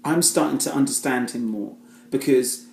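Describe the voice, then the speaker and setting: A man's voice: medium pitch, normal speed, gravelly, a little mellow, roomy sound. A British-born man giving a casual, rambling spoken review, full of fillers and restarts. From Britain. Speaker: film reviewer.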